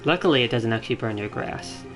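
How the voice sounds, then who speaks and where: A man streaming a video game, commenting casually and thinking out loud in a rambling way. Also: mid-range voice, expressive, fast talker. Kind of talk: casual live gameplay commentary. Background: video game music.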